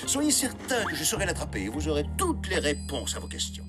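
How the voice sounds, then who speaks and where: A man's voice: on the high side, huge pitch swings, brisk. A man voicing a pompous, theatrical professor who hunts strange animals, in a French cartoon dub. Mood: self-assured. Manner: self-important, theatrical. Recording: background music under the voice.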